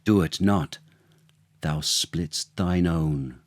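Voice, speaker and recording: Jealous storybook king, acted by a male reader: low-pitched, deliberate, cruel and seething. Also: no echo. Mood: menacing. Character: jealous, furious king turning cruel and menacing.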